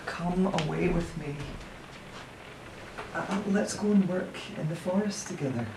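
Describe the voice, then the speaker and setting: A man's voice: high voice, unhurried. An actor playing a weary, idealistic man with a hesitant, heavy delivery in a naturalistic audio drama. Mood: drowsy, tender. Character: weary, idealistic man longing to escape to forest work. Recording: echoey, noisy room recording.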